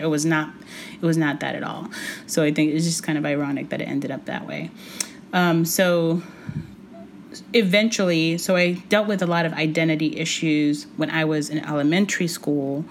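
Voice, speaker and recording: A woman in her forties, born in Puerto Rico with Spanish as her first language, talks as a guest in a podcast interview. Her voice sits low, and she pauses often with thoughtful ums and soft laughs. A faint hum of room noise sits behind her. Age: 40s.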